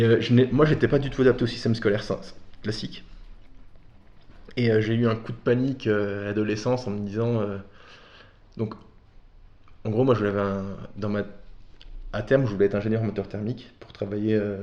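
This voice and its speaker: A man's voice: fairly low pitch, steady tone, fairly quick, pauses often, darkish tone. A man from Belgium talking casually as an interview guest, telling personal stories in a candid, informal, slangy way. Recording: dull, roomy echoing sound.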